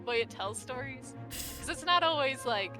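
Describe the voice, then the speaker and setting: A high-voiced woman chats informally over clearly audible music. Her pitch moves around a lot, and she comes across as a giggly co-host in a relaxed conversation. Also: normal speed. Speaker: co-host discussing video game quests. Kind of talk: casual chat.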